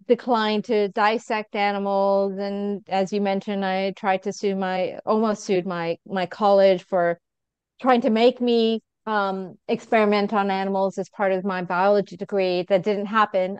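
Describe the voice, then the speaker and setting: Earnest woman with a smooth, clear voice. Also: mid-range voice, no echo, muffled recording. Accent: American. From England. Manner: earnest, laughs easily, many fillers. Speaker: guest, physician. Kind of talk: conversational interview answer.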